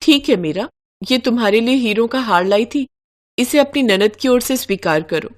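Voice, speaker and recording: A woman's voice: a little high, expressive, moderate pace, natural voice. A woman voicing a haughty royal sister-in-law in a devotional story, speaking formally and emphatically. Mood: falsely sweet.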